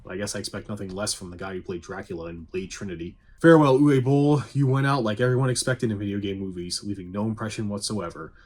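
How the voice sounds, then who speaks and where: A man's voice: lowish voice, little pitch movement, fast, hardly any pauses, darkish tone. A man giving a casual scripted review commentary, with sarcastic dry humour and some swearing. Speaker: video game movie reviewer. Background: faint music.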